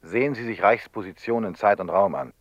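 An actor playing a grave, determined investigator in a radio drama, speaking in solemn, insistent rhetoric. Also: male voice, on the low side, brisk, no echo, lo-fi sound, lightly acted. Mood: urgent, ominous. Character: grave, determined man, telepath investigator urging drastic action. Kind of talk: German radio drama acting.

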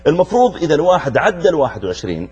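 A man's voice: a little high, big pitch swings, very fast, darkish tone, breathy edge, muffled recording. A middle-aged man from Arabia, a preacher hosting a youth show who talks to a young audience and asks them questions. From Saudi Arabia. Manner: engaging, addresses listeners directly, asks them questions. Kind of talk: lively talk to a young audience.

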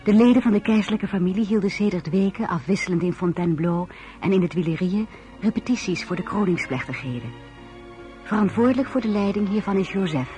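A man's voice: high-pitched, fairly quick, darkish tone, natural voice. A man announcing and narrating a radio drama in a scripted style. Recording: music behind the voice.

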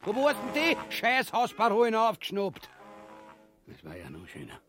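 A man's voice: sits high, huge pitch swings, normal speed, music in the background. An actor playing a vulgar, blustering, cowardly usurper king in a farcical radio drama, bombastic and grumbling with a Viennese accent. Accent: Viennese Austrian. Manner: bombastic, grumbling, stammering in fluster.

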